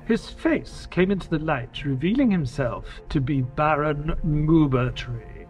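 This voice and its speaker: An actor playing an earnest detective's companion, giving dramatic first-person narration of an adventure. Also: male voice, on the high side, expressive, measured pace, soft background music. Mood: suspenseful.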